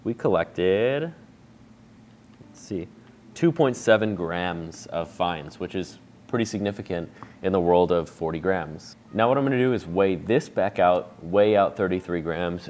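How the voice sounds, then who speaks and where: A man in his thirties walks through a coffee brewing recipe in a relaxed, chatty how-to style, explaining each step as he goes. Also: low voice, moderate pace, slight background noise. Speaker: coffee shop owner demonstrating a brew recipe. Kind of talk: casual how-to demonstration. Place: bright indoor room with a table.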